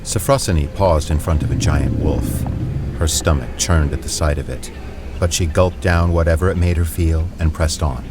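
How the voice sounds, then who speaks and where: A man's voice: very deep voice, fairly even intonation, normal speed, heavy background noise. A man reading scripted story narration as the narrator of an audio drama. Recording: music behind the voice.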